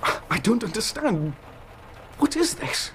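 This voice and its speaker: An actor playing a distraught, hot-tempered and bewildered husband, forceful and emotional, in a period radio drama. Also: male voice, sits high, big pitch swings, a little slow, slight background noise, heavily acted.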